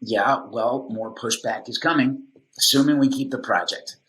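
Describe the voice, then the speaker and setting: An actor playing a stubborn, skeptical veteran civics teacher in an audio drama, arguing bluntly. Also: male voice, medium pitch, moderate pace, a bit bright, lightly acted, rough recording. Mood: insistent, exasperated. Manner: blunt, argumentative.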